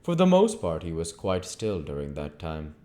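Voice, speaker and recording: A man's voice: low voice, huge pitch swings, moderate pace, warm tone. A man narrating a classic novel aloud as an audiobook reader. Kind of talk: storybook narration, read aloud.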